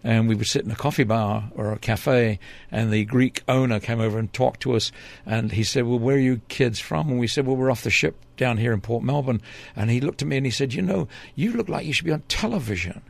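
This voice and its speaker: Gravelly older Englishman telling stories. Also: medium pitch, brisk, hardly any pauses. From England. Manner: rambling, hesitant, with many fillers and restarts. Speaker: interview guest, former TV reporter. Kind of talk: relaxed storytelling in an interview.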